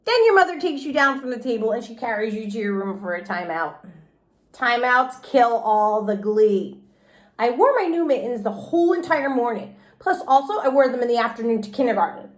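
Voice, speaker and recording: A woman reading a children's storybook aloud as a teacher would, with an expressive, childlike delivery. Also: a little high, moderate pace. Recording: roomy, echoey indoor sound.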